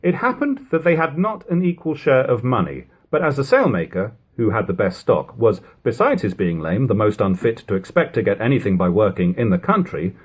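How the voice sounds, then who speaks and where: A man reading an old novel aloud as an amateur audiobook narrator, in measured, formal storytelling with expressive delivery, on a lo-fi home recording. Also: medium pitch.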